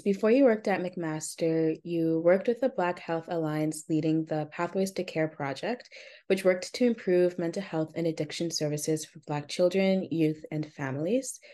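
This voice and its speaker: A woman interviewer on a podcast, asking a measured, prepared question. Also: lowish voice, warm tone.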